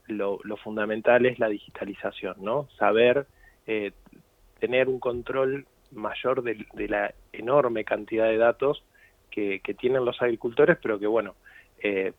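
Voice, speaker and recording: An Argentine man with an Argentine accent, giving measured, explanatory answers as a guest in a radio interview. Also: medium pitch, no echo. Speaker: guest, company CEO. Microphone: phone. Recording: tinny phone line.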